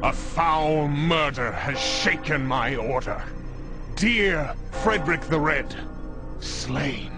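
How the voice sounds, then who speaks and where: A pompous Templar villain delivering theatrical video game oratory. The voice is high for a man and slow, full of menace, with music clearly behind it. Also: lively intonation. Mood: indignant, mournful.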